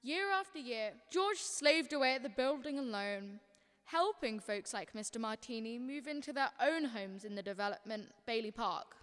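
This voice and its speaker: A woman's voice: a little high, expressive, moderate pace, a bit bright, clean tone. A young woman playing a patient, authoritative senior angel telling a story in a student radio drama. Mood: storytelling. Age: young adult.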